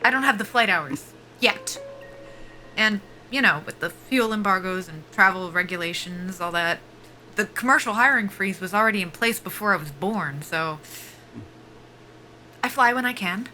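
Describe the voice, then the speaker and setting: An actress playing a guarded young soldier-pilot at a job interview in an audio drama, politely formal and clipped, with dry humor. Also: female voice, mid-range voice, expressive, normal speed, bright, forceful tone, faint music behind, rough recording. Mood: guarded, hesitant. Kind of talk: naturalistic audio drama acting.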